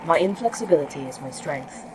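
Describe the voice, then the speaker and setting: Low-voiced, unflappable female A.I. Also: expressive, a little fast, background noise. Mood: dry, pedantic. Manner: pedantic, formal, inflexible. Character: terse, literal, unflappable female A.I. of a secret facility. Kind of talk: sci-fi audio drama acting.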